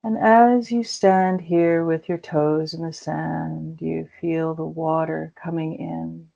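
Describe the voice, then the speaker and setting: A woman leading a guided meditation in a slow, soothing way with gentle pauses. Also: sits low, steady tone, vocal fry. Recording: dull lo-fi recording.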